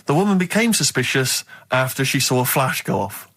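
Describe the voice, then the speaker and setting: A man's voice: mid-range voice, expressive, brisk, fairly bright tone. A man with an English accent co-hosting a radio show, bantering articulately and asking questions with mock incredulity. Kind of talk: casual comic banter.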